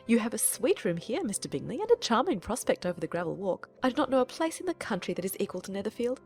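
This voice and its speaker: A woman as a fussy middle-aged mother, effusive, chattering, lively intonation, audiobook drama, music behind. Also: medium pitch, fast talker. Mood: gushing. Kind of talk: dramatized audiobook character reading.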